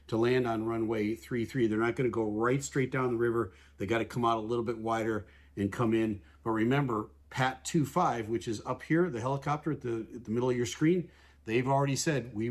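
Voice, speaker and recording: Measured man explaining air traffic calls. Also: medium pitch. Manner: measured, plain-spoken, paraphrases radio calls in everyday words. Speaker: aviation analyst. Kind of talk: explanatory commentary over air traffic recordings.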